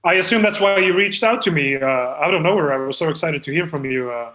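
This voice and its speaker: A man's voice: a little high, fast talker, sharp tone. A man playing an eager, insecure amateur screenwriter in improvised comedy, speaking in an earnest, hesitant, rambling way. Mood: eager, excited. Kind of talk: improvised comedy podcast role-play.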